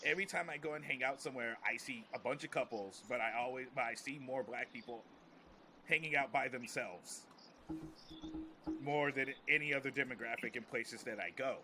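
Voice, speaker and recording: A man's voice: mid-range voice, moderate pace, pushed and bright, a little hiss or hum. An American man talking casually and with strong opinions on a podcast, emphatic and repeating phrases as he builds a point. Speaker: podcast host, comedian.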